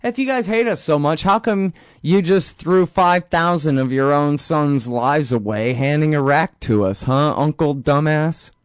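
A man's voice: fairly high pitch, measured pace, few pauses, clean tone, dry recording, studio quality, pitched above the performer's own voice, lightly acted. A man voicing an imagined foreign politician who sarcastically taunts and mocks. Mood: mocking.

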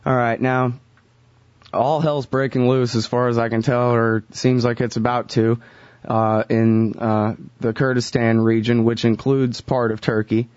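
A man's voice: mid-range voice, level tone, measured pace, a little mellow, no room sound. A male radio host talks casually and asks pointed questions, stumbling now and then on fillers. The sound is dry and close but a bit muffled and lo-fi. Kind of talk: radio interview.

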